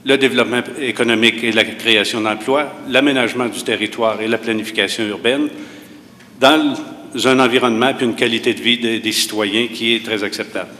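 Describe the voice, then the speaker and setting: Male candidate, bright tense voice, measured and hesitant, in a reverberant hall. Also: mid-range voice, fairly even intonation. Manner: measured, hesitant, many filler pauses. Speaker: municipal candidate. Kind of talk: debate opening statement. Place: university hall. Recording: reverberant hall with faint noise.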